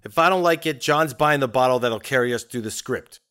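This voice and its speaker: An actor playing a tired, grumbling, skeptical radio scriptwriter with a dry, sardonic way of talking, in a period audio drama. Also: male voice, medium pitch, brisk, a bit bright, smooth, lightly acted. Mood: exasperated.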